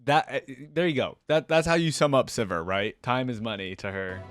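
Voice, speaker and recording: A man's voice: mid-range voice, animated, brisk, music in the background. A man giving casual, chatty commentary as he reacts to game voice lines, close to the microphone. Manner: informal, chatty.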